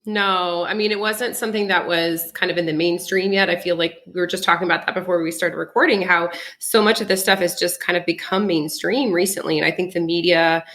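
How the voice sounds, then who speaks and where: A woman's voice: on the low side, fairly even intonation, a little fast, few pauses, fairly bright tone. A woman with an American accent and French as her first language, chatting casually as an interview guest. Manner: chatty, laughs often while talking. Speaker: podcast guest, psychic.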